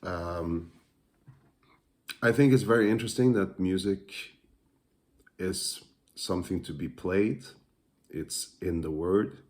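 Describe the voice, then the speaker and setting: Slow, low-voiced Norwegian man musing. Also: darkish tone. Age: adult father. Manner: thoughtful, hesitant, many pauses and fillers. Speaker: musician and music teacher. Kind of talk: casual reflective monologue.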